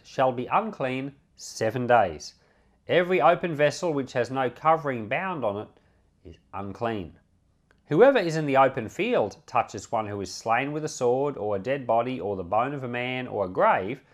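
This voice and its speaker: A man speaking as a pastor, reading and explaining scripture in measured, emphatic phrases. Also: mid-range voice. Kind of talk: Bible reading with devotional commentary.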